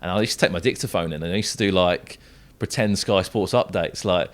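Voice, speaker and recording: A young man with a low-pitched voice and a southern English accent. He is an esports football commentator, chatting casually as a guest on a podcast. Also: fairly quick. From south coast of England. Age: young adult.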